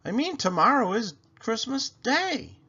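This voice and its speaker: Man voicing a busy, high-pitched lady of the house. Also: sing-song, measured pace, bright, forceful tone, breathy edge, muffled recording, full character voice. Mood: bustling.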